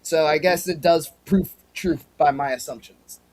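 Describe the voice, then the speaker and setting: Rambling young man, higher voice. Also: unhurried. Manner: rambling, frequent restarts. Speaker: podcast co-host. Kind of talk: casual chat.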